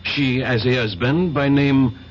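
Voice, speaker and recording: An actor playing a formal, incredulous French police inspector in a 1970s radio drama, with a French accent and halting English. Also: male voice, medium pitch, steady tone, close to an everyday voice. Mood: curious, official. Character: male French police inspector, dismissive and excitable. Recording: muffled, faintly noisy old radio broadcast.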